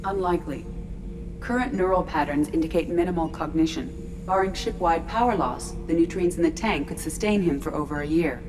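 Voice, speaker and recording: A woman voicing a calm ship artificial intelligence in a video game, precise, formal and detached. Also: low voice, a little fast, noisy background, natural voice. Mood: clinical calm. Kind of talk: video game dramatic acting.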